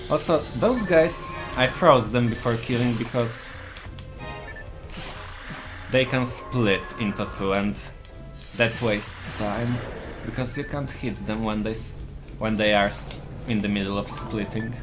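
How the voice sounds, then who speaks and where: A man's voice: on the low side, fairly even intonation, unhurried. Polish-accented man narrating a speedrun. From Poland. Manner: explains tactics plainly while playing. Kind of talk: live gameplay commentary. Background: game music.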